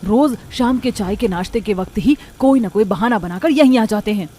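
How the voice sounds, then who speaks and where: A woman's voice: medium pitch, normal speed, dark tone, husky, close to an everyday voice. A woman voicing a resentful, scheming elder daughter-in-law in a cartoon, grumbling her complaints.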